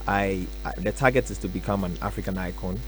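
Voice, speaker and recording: A young man from Ghana, a musician answering radio interview questions in a relaxed, soft-spoken way with many fillers. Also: low voice, a little fast, faint music behind, poor audio. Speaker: musician guest. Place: radio studio.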